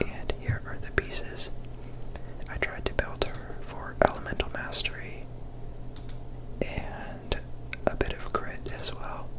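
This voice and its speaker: A gaming host whispering breathy ASMR commentary over gameplay, gentle and unhurried, close to the microphone. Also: low-quality recording.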